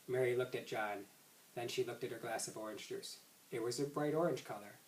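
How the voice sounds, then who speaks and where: A man's voice: medium pitch, a little fast, slight background noise. A man reading a children's story aloud expressively, now and then stumbling and rereading words. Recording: roomy echo, distant microphone.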